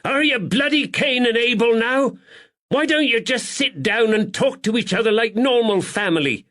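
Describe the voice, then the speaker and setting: A woman's voice: fairly high pitch, animated, normal speed, pitched above the performer's own voice. A shrieking, furious landlady. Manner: yelling, scolding. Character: furious shrill middle-aged landlady.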